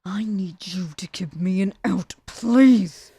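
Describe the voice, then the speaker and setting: A woman's voice: mid-range voice, slow, warm tone, slightly rough, dry recording, exaggerated voice. A woman playing a roguish assassin in an ASMR audio drama, cheeky and dramatic, with a wheedling way of talking. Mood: indignant, pleading. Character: roguish, pleading assassin, the queen's troublesome cousin. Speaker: voice actor in an audio roleplay.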